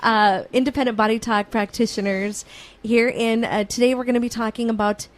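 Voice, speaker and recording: A woman's voice: fairly high pitch, steady tone, fairly quick, slight background noise. A woman hosting a radio talk show, chatty and joking with her guests. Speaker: radio host. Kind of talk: casual radio chat.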